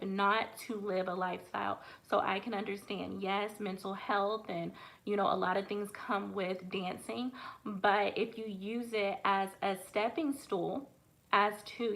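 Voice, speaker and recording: A woman's voice: mid-range voice, a bit bright, rough recording. Frank woman in her late twenties. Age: late 20s. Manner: frank, conversational, many fillers. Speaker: livestream host and dating coach. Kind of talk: casual livestream Q&A.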